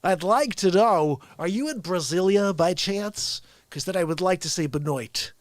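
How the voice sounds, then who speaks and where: A man from the United States hosting an unscripted live stream, rambling excitedly, chuckling and greeting viewers by name. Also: high voice, normal speed, no echo, clean studio sound. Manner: hypes the show with catchphrases. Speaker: livestream show host.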